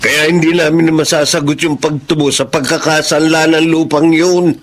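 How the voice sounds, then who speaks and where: Beleaguered Filipino husband, slightly high voice by an actor. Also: male voice, fairly even intonation, normal speed, close to an everyday voice. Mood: resigned. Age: middle-aged. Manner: pleading, defensive. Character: beleaguered middle-aged husband, loyal to his siblings. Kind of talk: Filipino radio drama acting.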